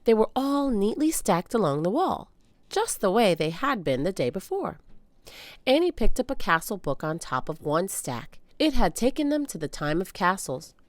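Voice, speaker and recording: A woman reading a children's book aloud as an animated storyteller, with dramatic emphasis. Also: mid-range voice, normal speed, dry recording. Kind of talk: expressive read-aloud storytelling.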